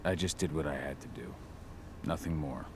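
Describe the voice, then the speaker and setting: An actor playing a gruff, terse, brooding werewolf father in a video game cutscene, protective and intense. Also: a bass voice, normal speed. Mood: guarded, earnest. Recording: loud background noise.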